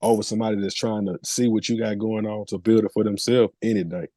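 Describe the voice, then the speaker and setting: A middle-aged man with a relaxed, soft-edged tone and very little room sound. He talks as a casual podcast co-host, making his points in slangy, emphatic, opinionated language. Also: fairly low pitch, quick pace, dry recording, clean studio sound.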